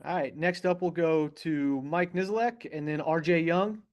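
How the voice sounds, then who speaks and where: A man moderating a press conference, introducing the speaker and calling on reporters in a brisk, functional way. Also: fairly high pitch, a little mellow.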